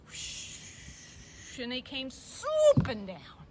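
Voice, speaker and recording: A woman tells a children's puppet story. Her voice is very high and lively, and she takes her time, with clear noise in the recording. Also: extremely slow. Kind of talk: animated children's story narration. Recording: noisy live recording.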